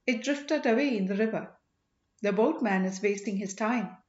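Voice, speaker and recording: A woman's voice: medium pitch, moderate pace, a little mellow, close to an everyday voice. A woman voicing a proud, strong-willed and devoted young princess in an audiobook story. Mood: firm. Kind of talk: audiobook character dialogue. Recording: roomy, slightly dull home recording.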